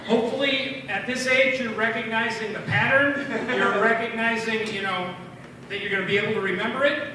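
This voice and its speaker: High-voiced American man, very echoey room. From Minnesota, United States. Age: 40s or older. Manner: rambling, halting delivery with tangents. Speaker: amateur stand-up comedian at an open mic. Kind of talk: stand-up comedy set. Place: bar open mic room. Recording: very echoey, distant room recording, clear noise.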